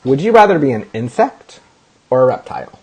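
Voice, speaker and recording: A man hosting a casual interview show, upbeat and animated, asking friendly questions. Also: mid-range voice, a little fast, slightly rough, rough recording. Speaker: host.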